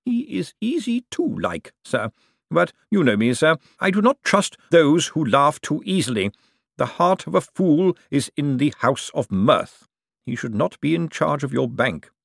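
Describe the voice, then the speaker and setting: Servile, humorless male bank clerk for an audiobook, done by a male reader: formal, guarded, hesitant, in a raised pitch. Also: big pitch swings, a little slow, gravelly, no room sound, studio quality, exaggerated voice. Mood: guarded, polite. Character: stiff, deferential, joyless male senior bank clerk. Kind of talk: audiobook character dialogue.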